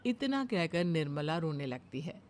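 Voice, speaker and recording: A woman's voice: low-pitched, very animated, moderate pace, darkish tone. Woman narrating a cartoon moral story.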